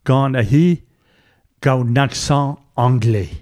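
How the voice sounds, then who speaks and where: A man's voice: medium pitch, slow pace, darkish tone. A man chatting casually in conversation.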